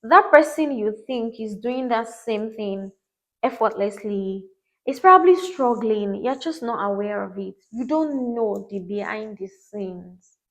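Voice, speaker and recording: A woman's voice: fairly high pitch, expressive, measured pace, a bit bright, rough recording. An earnest woman hosting her podcast. She talks in an encouraging, reflective way and often repeats words for emphasis. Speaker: podcast host. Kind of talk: reflective motivational talk.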